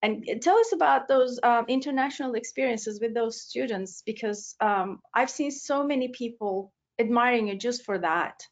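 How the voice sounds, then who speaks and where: A woman's voice: on the high side, normal speed, few pauses, dull audio. A woman interviewing a colleague, admiring and hesitant with many fillers. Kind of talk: conversational interview.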